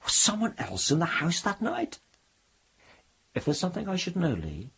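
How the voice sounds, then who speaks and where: An actor playing an earnest, troubled reverend in a radio drama, solemn and probing, pressing with urgency. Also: male voice, on the high side, wildly swooping pitch, moderate pace, a bit bright, husky, lo-fi sound, heavily acted. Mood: urgent, insistent.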